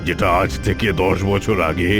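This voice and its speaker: A man playing a vengeful, scornful tantric sorcerer in a cartoon, with a gravelly voice and a scolding, commanding manner. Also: deep voice, fairly even intonation, moderate pace, close to an everyday voice. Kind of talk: dramatic cartoon voice acting. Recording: music behind the voice. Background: music.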